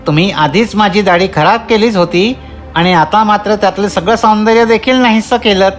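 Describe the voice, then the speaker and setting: A woman's voice: medium pitch, moderate pace, soft background music, lightly acted. A woman voicing a rude, grumpy little bearded male dwarf in a children's cartoon story, with a blustering, indignant manner. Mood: irritated, scolding.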